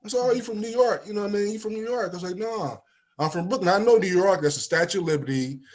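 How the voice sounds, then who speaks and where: Man in his 40s, slightly raised pitch, animated talk-show guest who acts out his stories. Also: fast talker. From East Saint Louis, Illinois, USA. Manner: animated storyteller, acts out quoted dialogue, often adds "you know what I mean". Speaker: guest, life insurance agent. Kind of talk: casual talk-show conversation.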